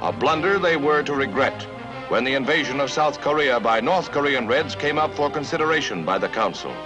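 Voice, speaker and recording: A man's voice: mid-range voice, brisk, highs cut off. An American man narrates a 1950s newsreel in a dramatic, emphatic and formal announcer style, on a vintage soundtrack. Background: background music.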